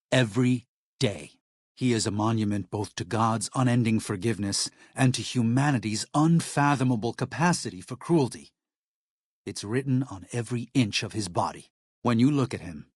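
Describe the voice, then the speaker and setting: A man voicing an earnest, weary missionary medic in a video game, speaking in a measured, solemn, plain-spoken way. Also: medium pitch, dry recording, studio quality, natural voice. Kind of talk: video game character dialogue.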